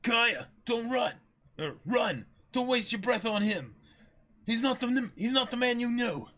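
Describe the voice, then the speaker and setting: A man's voice: very high-pitched, lively intonation, a little slow, bright, forceful tone, pitched above the performer's own voice, heavily acted. A man voicing a brave, desperate young sea warrior, urgent and earnest, in an amateur dramatic manga reading.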